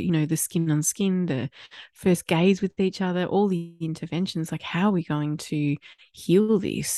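Husky, congested woman chatting on a podcast. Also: low voice, no room sound. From Australia. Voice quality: husky, nasal. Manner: warm, chatty, laughs often. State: congested from a cold. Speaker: podcast co-host. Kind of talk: casual chat.

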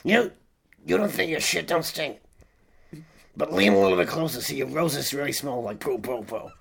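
A man playing a blunt, foul-mouthed rapper and film actor with a gravelly voice in an improvised comedy podcast. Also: on the high side, moderate pace, fairly bright tone. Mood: insulting, blunt. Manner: blunt, insulting.

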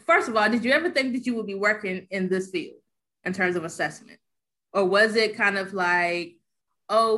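A woman's voice: medium pitch, fairly bright tone. Upbeat woman podcast host. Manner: upbeat, conversational, affirming. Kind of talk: casual podcast hosting.

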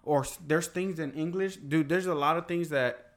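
Rambling man, native Spanish speaker. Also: on the high side. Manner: rambling, halting restarts, swears. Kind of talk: casual chat.

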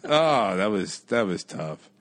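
Animated low-voiced man telling stories. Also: takes it slowly. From Jamaica, Queens, New York. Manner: animated, profane storytelling with laughs and asides. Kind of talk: casual chat.

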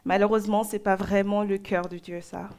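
A woman's voice: medium pitch, flat delivery, a little mellow. Nigerian-born woman preaching in short phrases. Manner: speaks in short phrases, pausing for an interpreter. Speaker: preacher giving a testimony. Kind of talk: sermon in French.

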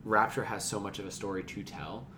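Male podcast co-host with a fairly low voice, casually analytical, recorded with audible background noise. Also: fairly even intonation, fairly quick, bright, forceful tone.

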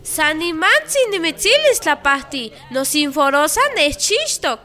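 A busy health adviser in a radio drama. Her voice is very high and bright, with a forceful edge, in a scripted delivery. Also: exaggerated voice. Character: busy woman giving maternal health advice.

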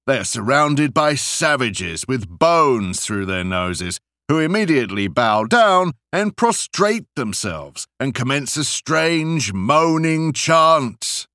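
Slow, highly animated male audiobook narrator. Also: dry recording, studio quality. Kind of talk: expressive scripted narration of literary essays.

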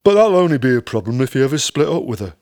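A man's voice: medium pitch, big pitch swings, fast talker, darkish tone. A man voicing a blunt, casual band manager with a Northern English accent and an offhand manner, in an audiobook. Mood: breezy.

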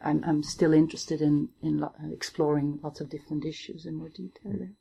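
A Flemish woman in her forties, an academic guest talking thoughtfully in an interview, often pausing to search for words. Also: low-pitched, fairly even intonation, vocal fry, mellow, husky. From Belgium (Limburg, Flanders). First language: Flemish Dutch. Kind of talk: reflective conversational interview.

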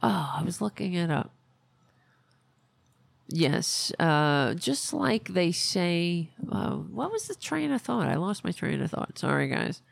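A hoarse woman hosts a political podcast, speaking slowly with a gravelly, creaky edge to her voice. She rambles, swears and loses her train of thought. The sound is dry and close. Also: on the low side, very animated, dry recording. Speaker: podcast host. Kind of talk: casual political rant.

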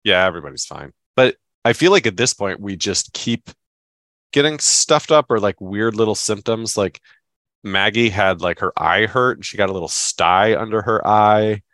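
A stuffed-up man with a low voice, chatting easily as a podcast co-host. He rambles along with enthusiasm. The sound is close and dry, with no room around it. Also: moderate pace, a bit bright, dry recording. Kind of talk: casual chat.

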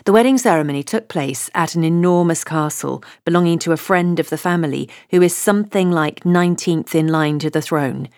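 A woman's voice: lowish voice, a little fast, few pauses, darkish tone, no room sound, studio quality. A woman reading a comic novel aloud in first person, wry and chatty. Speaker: audiobook narrator.

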